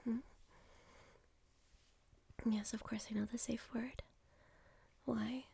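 Slow, whispering young woman with vocal fry. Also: fairly high pitch, level tone, a little hiss or hum.

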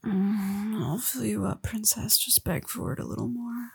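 A woman speaking in a soft, breathy whisper for an intimate audio roleplay, murmuring slowly and coaxingly close to the listener. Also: fairly even intonation, no echo. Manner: low murmured coaxing with sighs.

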